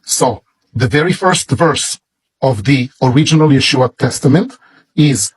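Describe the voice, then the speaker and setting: A man with a Hebrew accent, a native Hebrew speaker, slowly and reverently showing off a bible as a product. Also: mid-range voice, lively intonation, husky. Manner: slow, deliberate, reverent. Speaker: seller presenting a bible. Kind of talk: product demonstration.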